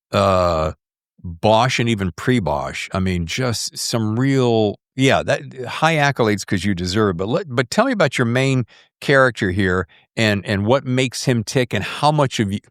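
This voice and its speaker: A man's voice: fairly low pitch, animated, measured pace, a bit bright, dry recording, studio quality. A man hosting a podcast, interviewing in a casual, conversational and enthusiastic way.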